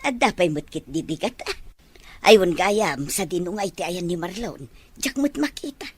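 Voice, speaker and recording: A woman playing an affectionate, excitable older mother in an Ilocano audio drama, speaking expressively. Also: on the low side, moderate pace, slightly rough, no room sound. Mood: chatty. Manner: expressive, exclamatory.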